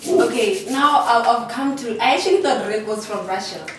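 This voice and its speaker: A woman's voice: on the high side, normal speed, a bit bright, a little hiss or hum. Chatty woman hosting in an echoey room. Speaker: host. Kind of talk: hosting a casual group interview. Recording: echoey room, mic at a distance.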